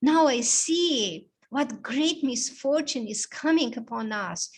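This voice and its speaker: An emotional queen whose feelings run high and spill into every exclamation, as a woman storyteller acts her out. Her voice is high and lifted above the narration, with measured, unhurried phrasing. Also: expressive, slightly rough, exaggerated voice. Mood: pleading. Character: emotional young queen, easily swayed.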